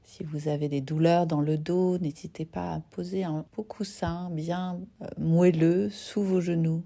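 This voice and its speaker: A woman teaching yoga nidra, guiding a relaxation gently and unhurriedly in short phrases with soft, breathy endings. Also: lowish voice, steady tone, a little slow. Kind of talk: guided relaxation meditation.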